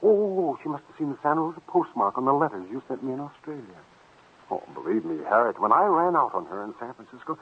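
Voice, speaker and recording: A man's voice: medium pitch, sing-song, fast, breathy edge. An actor playing a nervous, weak-willed man, an accomplice under a woman's thumb, hesitant, stammering and pleading in 1940s radio drama style. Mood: pleading, confessing. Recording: muffled old-time radio recording.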